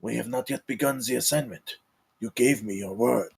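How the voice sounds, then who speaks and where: An actor playing a commanding count, firm and authoritative, with a gravelly, husky voice, in a script table read. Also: male voice, medium pitch, moderate pace. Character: commanding count, male master of a household. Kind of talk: live table read of a screenplay.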